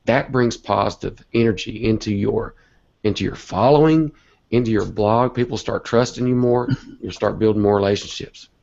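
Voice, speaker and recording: Hoarse gravelly man with a cold. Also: fairly low pitch, normal speed, darkish tone. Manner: earnest, motivational, rambling. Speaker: guest. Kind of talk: casual online interview talk. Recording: dull lo-fi web call audio.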